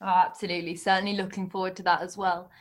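A woman's voice: on the low side, little pitch movement, quick pace. A woman hosting a business podcast, putting questions to guests in a conversational, professional way. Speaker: podcast host, interviewer.

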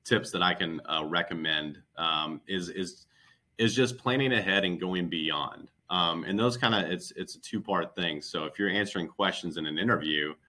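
Deep, bright-voiced man giving advice. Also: normal speed. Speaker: recruiter and podcast guest. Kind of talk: conversational interview advice.

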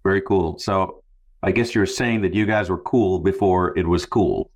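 A man's voice: sits low, moderate pace. A man hosting an interview podcast in a conversational style. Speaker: podcast host.

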